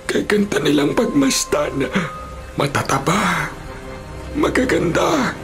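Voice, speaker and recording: An actor playing a troubled, commanding Pharaoh of ancient Egypt in a theatrical, imperious radio drama style. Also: male voice, on the high side, big pitch swings, slow pace, full character voice. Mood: horrified, agitated. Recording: radio drama over clear background music.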